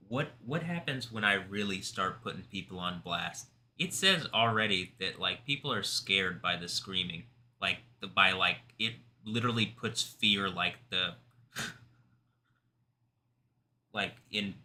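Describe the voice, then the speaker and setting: A man hosting a live stream, talking in an intense, rambling way, confrontational at times and soft-spoken at others. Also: low-pitched, measured pace. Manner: calls himself soft-spoken. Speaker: livestream host.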